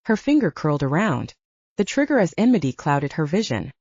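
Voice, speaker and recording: A woman's voice: sits low, sing-song, fairly quick, a little mellow, slightly rough, no echo. A woman working as an audiobook narrator, reading fiction in a dramatic, scripted style. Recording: dull, band-limited audio.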